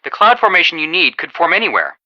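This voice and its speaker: A friendly young male amateur detective in a video game. His voice is very bright and crisp-edged, with lively swings in pitch. He chats through helpful tips in an earnest, reassuring way. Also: quick pace, lo-fi sound, full character voice. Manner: upbeat, chatty, reassuring.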